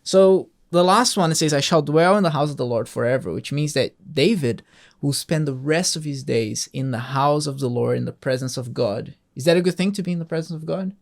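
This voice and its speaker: A man's voice: on the high side, fairly quick, gravelly. A man hosting a Bible reading, patiently explaining scripture to a child with coaxing questions. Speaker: host of a Bible reading show.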